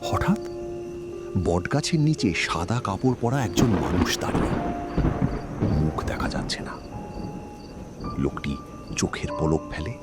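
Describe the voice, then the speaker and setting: Very low-voiced man narrating horror. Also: sing-song, measured pace, long pauses, loud music behind the voice. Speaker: story narrator. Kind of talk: scripted horror scene narration.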